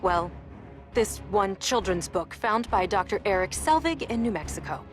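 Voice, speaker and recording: A woman's voice: medium pitch, lively intonation, normal speed, music in the background, close to an everyday voice. A woman voicing a drily witty official agency briefer, narrating in a scripted video game style. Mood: dry, wry.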